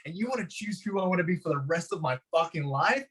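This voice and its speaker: Husky Hungarian-accented man talking with passion. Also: high-pitched, lively intonation, quick pace, a bit bright. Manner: passionate, swears for emphasis, repeats his motto. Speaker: podcast guest. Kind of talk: motivational interview talk.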